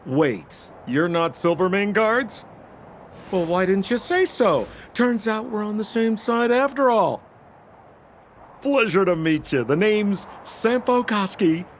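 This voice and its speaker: A man's voice: sits high, animated, takes it slowly, slight background noise. A man playing a sly, theatrical, ingratiating treasure-hunting con man in a video game. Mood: chummy.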